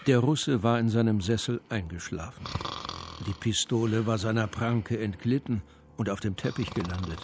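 An actor as the narrator of a crime radio play, telling the story in a composed, measured way. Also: male voice, lowish voice, noisy background, natural voice. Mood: composed suspense. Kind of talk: scripted narration of a crime drama.

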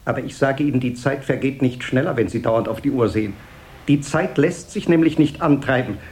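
A man's voice: mid-range voice, fairly quick, a little mellow, slight background noise, lightly acted. A man playing a self-important, nagging company director in a radio play, curt and sarcastic, hammering words by repeating them. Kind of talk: 1970s German radio play acting.

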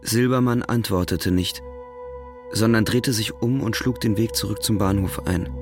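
Male narrator, slightly low pitch, scripted literary storytelling, clear music bed. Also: normal speed.

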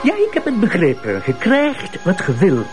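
A man's voice: sits high, wildly swooping pitch, moderate pace, soft background music. A man with a Flemish accent acting an exasperated, testy public prosecutor in a radio drama. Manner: emphatic, testy. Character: exasperated male public prosecutor, grudgingly giving in.

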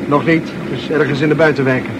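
An actor playing a newspaper reporter in a radio play, coaxing and placating. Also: male voice, on the high side, fairly even intonation, fast talker, heavy background noise, lightly acted. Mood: calm. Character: smooth-talking male newspaper reporter.

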